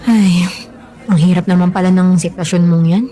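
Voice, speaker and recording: Belen, expressive Filipina drama heroine, acted by a woman. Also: on the low side, moderate pace, faint music behind, lightly acted. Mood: sympathetic. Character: Belen, warm, caring working woman, wounded in love. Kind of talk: Tagalog radio drama acting.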